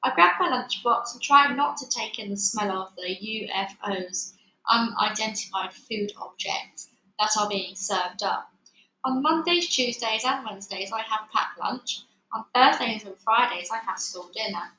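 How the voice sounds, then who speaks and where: Female children's book reader, bright and animated delivery, echoey room with faint noise. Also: on the high side, a little slow. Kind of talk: animated read-aloud narration.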